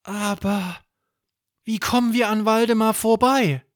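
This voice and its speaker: A man's voice: high-pitched, fairly even intonation, measured pace, dry recording, studio quality, pitched above the performer's own voice. A man voicing a small, curious, anxious boy who asks wide-eyed questions in a children's story. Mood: puzzled, anxious.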